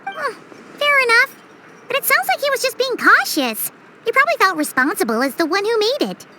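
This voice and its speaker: A woman voicing a tiny, childlike fairy companion in a video game, squeaky, curious and earnest, with an exclamatory way of talking. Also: wildly swooping pitch, fairly quick, heavy background noise, heavily acted. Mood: reassuring. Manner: speaks of herself in third person, exclamatory. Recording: loud game music behind voice.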